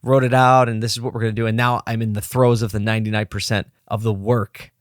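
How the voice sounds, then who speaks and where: A man hosting a solo podcast from his office desk, slightly hoarse and low on energy, talking in a rambling, candid way and pepping himself up as he goes. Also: flat delivery, quick pace, no echo, studio quality. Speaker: podcast host. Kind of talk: casual solo podcast talk.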